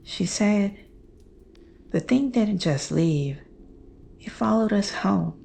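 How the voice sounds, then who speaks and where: Slow, dark-voiced haunted woman played by an actress. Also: on the low side, creaky, a little hiss or hum, heavily acted. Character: haunted, fearful woman recounting her mother's terrifying secret. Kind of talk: slow dramatic horror storytelling.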